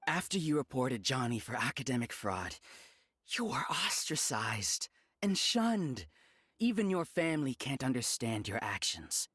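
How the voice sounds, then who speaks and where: A man's voice: medium pitch, very animated, unhurried, fairly bright tone, husky, dry recording, heavily acted. Courteous male villain, coaxing, genteel, very varied intonation, dry close sound, video game acting. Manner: genteel, persuasive. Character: smooth, courteous male schemer luring recruits, quietly sinister.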